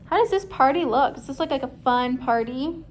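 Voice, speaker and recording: A woman reading a children's story aloud as a teacher, pausing to put questions to young listeners. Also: sits high, animated, a little hiss or hum.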